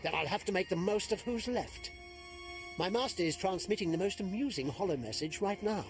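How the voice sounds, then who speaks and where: High, swooping, sneering Sith villain. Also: male voice, animated, a little fast. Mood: smug, mocking. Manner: haughty, mocking. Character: sneering male Sith apprentice, smug, theatrical villain. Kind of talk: video game villain acting. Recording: music under the voice.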